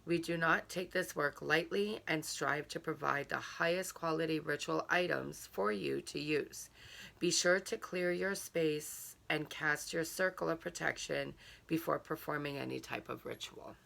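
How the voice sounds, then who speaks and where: Hoarse woman, gravelly and bright, slow halting unboxing chat. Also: deep voice. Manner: halting, many fillers. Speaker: subscription box reviewer. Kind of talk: casual unboxing chat, reading a card aloud.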